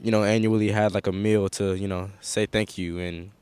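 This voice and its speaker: Low, smooth-voiced man hedging answers. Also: level tone, moderate pace, darkish tone. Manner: hedging, full of "you know". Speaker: guest. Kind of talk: casual interview answers.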